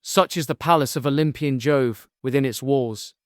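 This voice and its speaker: Male actor as young prince, smooth clear mid-range voice, earnest, admiring, formal phrasing, epic audio drama. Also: mid-range voice, moderate pace, no echo, studio quality, lightly acted. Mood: awed, confiding.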